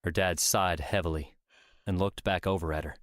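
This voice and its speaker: Deep-voiced male audiobook narrator. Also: fairly even intonation, moderate pace, no echo, clean studio sound. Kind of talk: scripted story narration. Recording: music under the voice.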